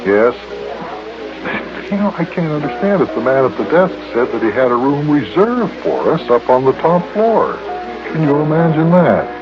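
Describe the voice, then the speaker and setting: A man playing a steady, kindly grown-up who calmly guides his companions in a children's radio serial. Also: a little high, moderate pace. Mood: mildly surprised. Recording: muffled old radio recording with music.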